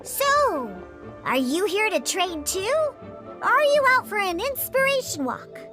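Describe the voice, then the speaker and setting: A woman's voice: extremely high pitch, sing-song, measured pace, bright, forceful tone, full character voice. A woman voicing a perky, exclamatory young girl in an anime video game. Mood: curious, casual. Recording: faint music behind.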